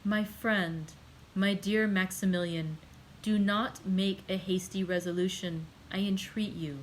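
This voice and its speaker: A woman reads as a composed, commanding nobleman, slightly lower and softer than her narrating voice. He speaks slowly and deliberately, with a gentle but unshakable firmness. Every phrase is weighed and steady, in a middling register. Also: a little hiss or hum, natural voice, low-quality recording. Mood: entreating. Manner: slow, quiet and measured. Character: calm, commanding older nobleman, gently stern. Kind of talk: audiobook character reading.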